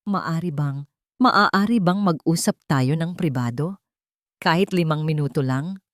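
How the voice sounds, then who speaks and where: A man's voice: on the high side, unhurried, fairly bright tone, no room sound, clean studio sound. Woman voicing a hesitant, pleading remorseful ex-husband.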